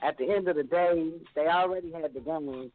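A man's voice: on the high side, fairly quick, faint music behind. A man co-hosting a casual radio show, talking bluntly and profanely in ranting bursts. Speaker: radio co-host. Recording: tinny phone-line sound.

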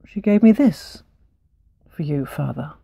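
A woman voicing a gentle, shy young man in a told folk tale, soft and hesitant. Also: low voice, animated, a little slow, vocal fry, breathy edge, no room sound, pitched below the performer's own voice, heavily acted.

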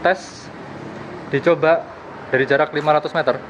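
A man's voice: fairly high pitch, fairly even intonation, normal speed, lo-fi sound. A young man vlogger casually explaining a field test as he walks, repeating himself, recorded outdoors at arm's length. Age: young adult. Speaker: vlogger reviewing gadgets. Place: outdoors in open plazas, park and street. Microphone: camera at arm's length. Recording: noisy outdoor street and park ambience.

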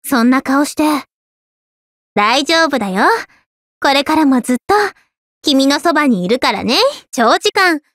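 A woman voicing an easygoing, affectionate teenage schoolgirl in an anime game, with a caring, gently teasing manner. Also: high-pitched, lively intonation, a little slow, long pauses, no room sound, clean studio sound. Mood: caring, encouraging. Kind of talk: scripted anime game voice lines.

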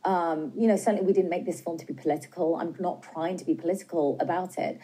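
A woman's voice: on the low side, fairly even intonation, dark tone, husky, low-quality recording. A woman in her forties with a British accent, a film director answering interview questions earnestly in a TV news studio, speaking with a lapel mic. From Britain. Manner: earnest, measured, some hesitations.

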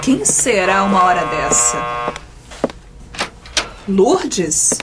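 An actress in a comic radio soap, playing a worried, bossy wife with an insistent, scolding manner. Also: female voice, medium pitch, extremely slow, heavy background noise. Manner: insistent, expressive.

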